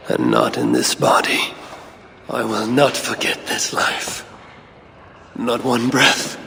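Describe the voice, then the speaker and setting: An actor playing a proud, battle-worn Norse high god in a video game cinematic, gravelly, solemn and deliberate. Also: male voice, a bit bright, big-room sound, slight background noise, full character voice. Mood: solemn, resolute. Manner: solemn, theatrical, deliberate delivery.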